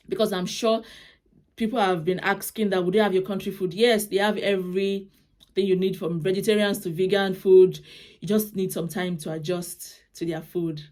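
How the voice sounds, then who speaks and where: A woman's voice: medium pitch, a little mellow. A young Nigerian woman, a native Yoruba speaker with a Nigerian accent, giving casual advice in a vlog, chatty and often restarting her phrases. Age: young adult. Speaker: vlogger.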